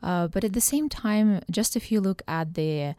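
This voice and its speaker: A woman from Ukraine, a political science professor, answering radio interview questions thoughtfully with frequent pauses and fillers. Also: mid-range voice, animated, warm tone, no room sound, clean studio sound. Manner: thoughtful, with many hesitations and fillers.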